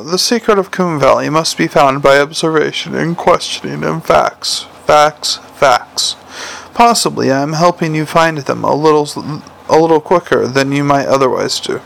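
A man reading aloud, voicing a clever, cultured male troll king who speaks quietly with a teacherly firmness. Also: a little high, vocal fry, poor audio. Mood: encouraging. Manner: measured, softly commanding, teacherly.

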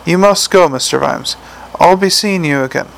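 Male reader as troll elder: measured, dry, gravelly. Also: a little high, fairly bright tone, rough recording. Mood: calm.

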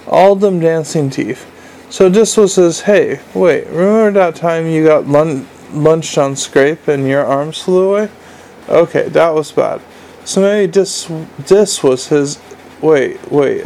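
A man voicing a dim-witted young male troll who speaks slowly and rambles in halting fits. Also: fairly high pitch, a little mellow, a little hiss or hum. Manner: slow, halting, rambling speech.

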